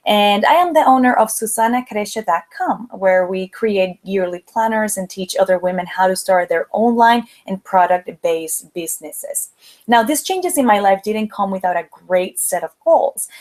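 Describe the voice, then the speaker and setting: A young woman from the Dominican Republic with a Dominican Spanish accent, leading a motivational webinar in an upbeat, chatty way. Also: medium pitch. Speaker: webinar host, planner business owner. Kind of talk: live webinar presentation.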